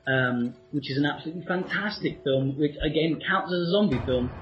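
A man's voice: on the high side, a little fast, faint music behind. Enthusiastic British man, lo-fi podcast sound. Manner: enthusiastic, frequent ums. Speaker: co-host. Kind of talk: casual podcast chat.

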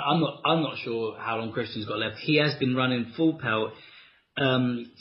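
Animated British man chatting wrestling. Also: mid-range voice, dull audio. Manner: animated, laughing storyteller. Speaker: wrestling podcast co-host. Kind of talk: casual chat.

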